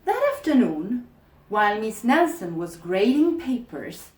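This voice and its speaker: Woman doing a kids story read-aloud, slow and dramatic, really lively pitch, echoey room. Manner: expressive, dramatic, deliberate. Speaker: storybook reader.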